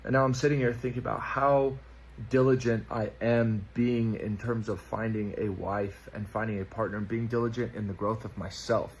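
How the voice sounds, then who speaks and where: A man's voice: lowish voice, steady tone, a little hiss or hum, rough recording. Thoughtful man in his thirties. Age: 30s. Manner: thoughtful, measured. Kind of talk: reflective monologue.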